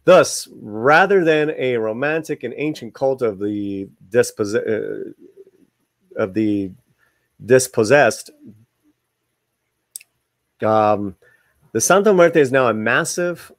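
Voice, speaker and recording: A man's voice: mid-range voice, slow, long pauses, no echo. A man hosting a video, reading an article aloud with informal asides to viewers and some stumbles over the words. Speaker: video channel host.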